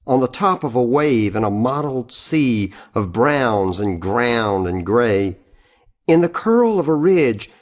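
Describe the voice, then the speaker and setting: A man reading poetry aloud in a recitation style. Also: mid-range voice. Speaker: poetry reader.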